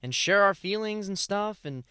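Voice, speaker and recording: Male actor as an earnest, lively young boy. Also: sits high, normal speed, clear, resonant tone, dry recording, clean studio sound. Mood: eager, earnest. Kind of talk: radio play acting.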